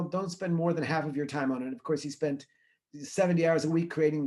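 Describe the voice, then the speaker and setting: A man's voice: fairly high pitch, a little mellow. A middle-aged man from Israel, a researcher answering interview questions in an earnest, thoughtful way with many fillers and restarts. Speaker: guest speaker, environmental researcher.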